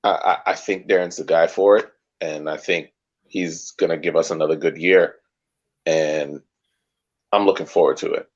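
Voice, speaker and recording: A man with a low voice co-hosts a sports chat in a measured way, building his points one step at a time. His audio sounds dull and lo-fi. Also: fairly even intonation. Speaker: podcast co-host.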